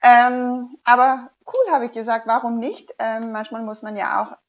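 A woman's voice: a little high, fairly even intonation, normal speed, muffled recording. A woman whose first language is Danish, a diving instructor, chatting casually in a hesitant way with many fillers and restarts. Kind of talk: casual interview chat.

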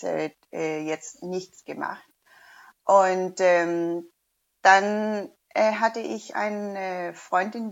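A woman's voice: medium pitch, creaky, highs cut off. A woman whose first language is Danish, a diving instructor, chatting casually in a hesitant way with many fillers and restarts. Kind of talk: casual interview chat.

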